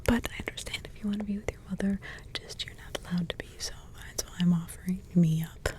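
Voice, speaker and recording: A woman voicing a doting grandmotherly witch in an ASMR roleplay, with a soft, tender whisper and rambling asides. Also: fairly low pitch, steady tone, fast, lightly acted. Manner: tender, sidetracked asides, affectionate endearments.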